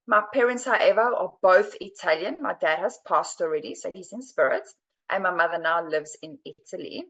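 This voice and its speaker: A South African woman in her forties speaks in a fairly low voice. She is a podcast guest telling her life story with earnest, halting phrasing and plenty of hesitations. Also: a bit bright, dull audio. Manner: earnest and searching for words, frequent hesitations. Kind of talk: personal storytelling in an interview.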